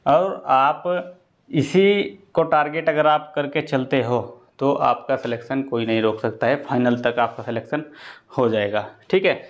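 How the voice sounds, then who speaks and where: Casting: male exam coach, informal and explanatory, repeats points, checks understanding; muffled lo-fi audio, mid pitch. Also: measured pace. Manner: explanatory, repetitive, often checks with "okay". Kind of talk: informal exam-prep lesson to students. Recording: dull lo-fi sound.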